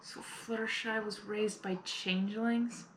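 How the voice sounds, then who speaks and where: A woman's voice: medium pitch, steady tone, a little slow, reverberant, a little hiss or hum, rough recording. A woman giving a casual live reaction, thinking aloud and exclaiming as she goes. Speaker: reaction video host.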